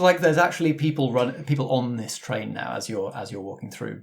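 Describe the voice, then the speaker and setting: Male game master, conversational storyteller, descriptive, with measured pauses between images. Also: mid-range voice, vocal fry. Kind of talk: narrating an improvised tabletop game.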